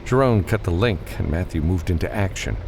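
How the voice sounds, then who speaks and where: A man's voice: low voice, expressive, a little fast, creaky, darkish tone, slight background noise. A man reading scripted fiction as an audiobook narrator.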